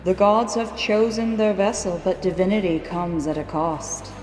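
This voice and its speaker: A woman's voice: medium pitch, steady tone, lightly acted. A woman playing the ruthless, commanding mother of a queen, giving ominous, portentous narration for a fantasy audio drama. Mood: ominous, imperious. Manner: portentous, deliberate. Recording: long hall reverb under loud music.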